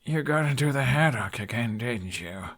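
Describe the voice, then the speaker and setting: A man's voice: mid-range voice, expressive, normal speed, a bit bright. A man voicing a sarcastic, grumpy young fantasy hero in an audiobook, with a dry, cheeky way of talking. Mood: sleepy, grumbling. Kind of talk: audiobook character voice.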